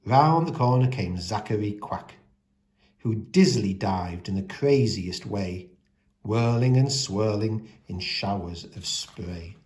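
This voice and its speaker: Casting: male storyteller, lowish voice, expressive read-aloud of rhyming picture books for young children. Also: slow.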